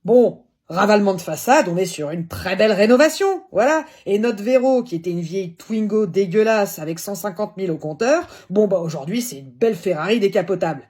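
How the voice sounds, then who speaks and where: A woman's voice: medium pitch, animated, brisk, hardly any pauses, natural voice. A woman voicing a brash, crude middle-aged aunt from the provinces, ranting and teasing down the phone in a comedy sketch. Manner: loud, crude, teasing, one-sided phone rant.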